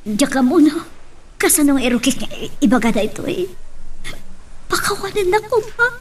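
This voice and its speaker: Woman doing sorry mom begging, ilocano accent, really high voice, radio drama, bit off the mic. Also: sing-song, distant mic, slight background noise. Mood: hesitant. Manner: pleading, halting. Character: remorseful older mother pleading for forgiveness.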